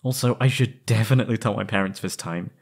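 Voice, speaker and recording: A young man playing an anguished, lovesick former captive in an intimate roleplay audio, halting and pleading. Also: on the low side, gravelly, voice pushed down. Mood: relieved, tender. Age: young adult.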